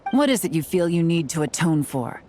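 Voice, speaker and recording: A woman playing a composed, knowing character in a video game, speaking in a measured, explanatory way. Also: low voice, big pitch swings, darkish tone, faint music behind. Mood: calm, instructive.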